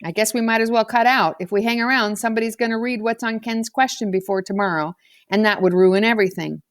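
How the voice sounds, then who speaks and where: A woman's voice: a little high, steady tone, quick pace, no echo, clean studio sound, lightly acted. A woman voicing a confident, bossy boy with a slangy, theatrical way of talking in a storybook. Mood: cocky, casual. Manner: casual slangy persuasion, theatrical when chanting. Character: bossy, confident boy playing a solemn high priest. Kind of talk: children's storybook character reading.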